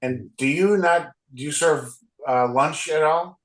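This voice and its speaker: Halting co-host with many pauses. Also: male voice, fairly high pitch. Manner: hesitant, many fillers and restarts. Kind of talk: community interview.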